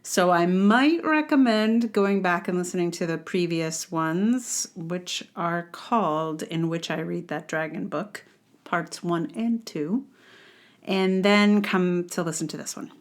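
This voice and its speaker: A woman's voice: fairly low pitch, slow pace. Wry woman podcaster reading her book commentary. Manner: wry, sardonic delivery with exaggerated emphasis. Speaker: podcast host. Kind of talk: reading aloud a personal book-review blog.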